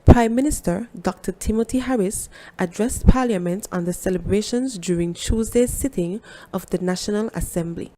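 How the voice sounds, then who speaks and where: A woman's voice: mid-range voice, normal speed, hardly any pauses, dark tone. A woman, narrating a scripted report as a news reporter.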